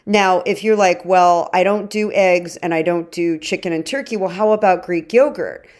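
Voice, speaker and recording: A woman with a fairly low voice hosts a podcast. She explains nutrition conversationally, addressing listeners directly with informal, emphatic phrasing. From Egypt. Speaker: podcast host and chef.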